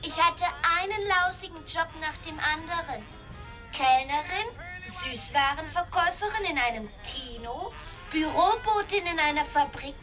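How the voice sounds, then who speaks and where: A woman's voice: very high voice, lively intonation, moderate pace, over music. An actress playing a young, wistful small-town woman in a radio play, plaintive and girlish as she talks.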